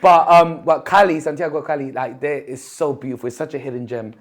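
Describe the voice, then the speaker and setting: A man's voice: on the high side, steady tone, brisk. A young man from Colombia, a podcast guest telling stories in casual chat with many fillers and restarts.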